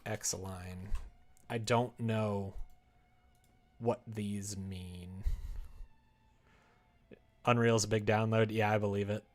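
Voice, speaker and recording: Low, creaky-voiced man, slow casual chat. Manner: muttering to himself between replies to chat. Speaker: live streamer developing a game. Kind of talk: casual chat while working. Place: indoors at a computer desk.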